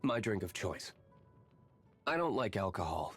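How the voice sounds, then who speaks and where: A reserved, brooding young man from a video game. His voice is low and very slow, with terse, understated phrases. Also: sing-song, breathy edge, faint music behind, heavily acted. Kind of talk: scripted game character lines.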